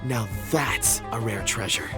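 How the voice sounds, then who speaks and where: An actor playing a polite, eccentric, curious young rock expert in a video game, with a genteel, easygoing manner. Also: male voice, lowish voice, animated, measured pace, over music. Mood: absorbed, admiring. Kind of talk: video game character acting.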